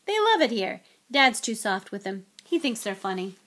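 A woman's voice: on the high side, sing-song, moderate pace, fairly bright tone. A woman voicing a knowing young boy in a storybook, speaking in a casual, matter-of-fact way. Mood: offhand.

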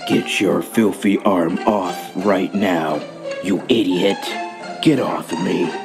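A man voicing a brooding, haughty, edgy cartoon hedgehog antihero in a fan comic dub, curt and drawn-out. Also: low-pitched, music in the background. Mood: cold, annoyed.

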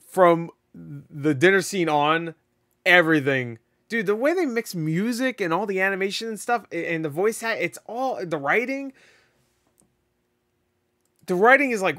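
Man in his 30s, reaction host on headset, slightly high voice, excitable and foul-mouthed, a bit hoarse. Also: no echo, clean studio sound. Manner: excitable, swears a lot, laughs often. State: losing his voice. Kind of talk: casual reaction commentary. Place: home room, indoors.

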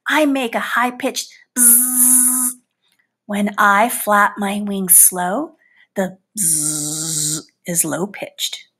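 Woman as a boastful, very animated storybook housefly. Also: on the high side, heavily acted. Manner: boastful, theatrical, scornful of butterflies. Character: boastful, butterfly-envying male housefly, a proud old father. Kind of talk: animated children's picture book read-aloud.

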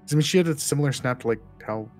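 A man's voice: mid-range voice, very fast, darkish tone, soft background music. A man co-hosting a podcast, casually discussing films and thinking aloud in loose, chatty fragments. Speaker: podcast co-host.

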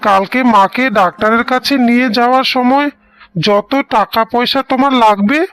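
A man's voice: extremely high pitch, normal speed, a bit bright, clear, resonant tone. An actor voicing a trusting young husband in a cartoon, speaking earnestly and reassuring his wife. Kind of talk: dubbed cartoon voice acting.

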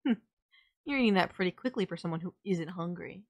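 A woman's voice: lowish voice, brisk, creaky, lo-fi sound. Woman acting as a sardonic but caring villainess. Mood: teasing, caring. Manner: blunt, teasing, exasperated yet nurturing. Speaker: voice actor. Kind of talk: audio roleplay drama, intimate one-sided dialogue.

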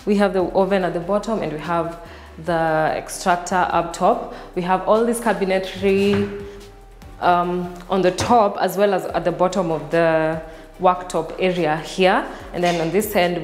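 A woman's voice: on the low side, a little mellow. A woman from Kenya hosting a walking house tour, chatty and enthusiastic as she points out features to viewers. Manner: enthusiastic, chatty, addresses viewers as you guys. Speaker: real estate tour host. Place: large empty house, indoors. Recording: echoey empty rooms with long reverb.

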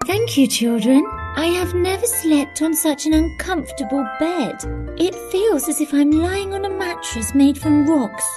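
A woman's voice: high voice, lively intonation, measured pace, over music. A woman playing a sweet, polite young princess in a children's storybook, with dramatic delivery. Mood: plaintive. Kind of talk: children's storybook app character acting.

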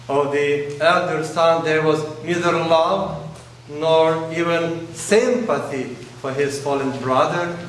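A man in his forties, a priest whose first language is Russian, preaching a sermon in slow, pausing phrases, recorded indoors in a large church by a camera at a distance. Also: a little high, a bit bright, a little hiss or hum. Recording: very echoey church, distant mic.

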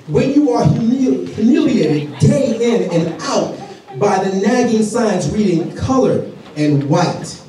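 A man giving a dramatic public reading from a stage, with deliberate, oratorical phrasing and pauses. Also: sits high, dark tone. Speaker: public reader at a community program. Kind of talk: dramatic public reading of a famous letter aloud. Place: large indoor hall, on stage before a seated audience. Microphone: stage microphone through speakers, recorded by far camera in audience. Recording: echoey hall from far off, faint noise.

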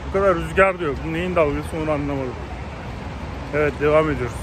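Guy in his 30s vlogging on his phone outside, kinda high voice, rambly, lots of street noise. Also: fast. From Turkey. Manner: chatty, rambling, addresses viewers directly. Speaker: travel vlogger. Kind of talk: casual walking-tour vlog. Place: outdoors on a windy lakeshore and city streets. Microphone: phone held at arm's length. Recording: outdoor phone audio with traffic and wind noise.

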